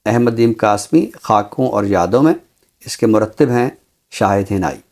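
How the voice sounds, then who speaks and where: A man with a Pakistani accent presenting a literary book review in a measured, scholarly, deliberate way. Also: fairly low pitch, animated, darkish tone. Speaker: literary guest presenter introducing books.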